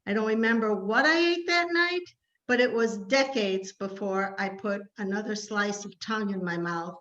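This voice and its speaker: A woman reading a prepared personal story aloud with measured, dramatic phrasing. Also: medium pitch, fairly bright tone, muffled recording. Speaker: participant sharing a family memory.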